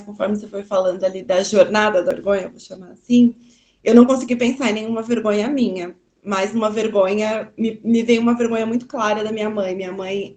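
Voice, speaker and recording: Woman on a video call, soft and reflective, sharing something personal. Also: mid-range voice. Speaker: workshop participant.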